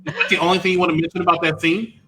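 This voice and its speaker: A man's voice: high voice, very fast, pushed and bright, low-quality recording. A man hosting a panel with casual banter, moderating the other speakers. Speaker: host. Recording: clear background noise.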